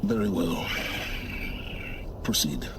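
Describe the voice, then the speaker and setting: A man playing an arrogant, secretive middle-aged loner in a video game, speaking with curt, deliberate condescension. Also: sits low, animated, heavily acted. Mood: guarded, aloof. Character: aloof, arrogant, secretive lone male scholar-explorer. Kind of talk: video game dramatic dialogue. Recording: game audio with clear ambient noise.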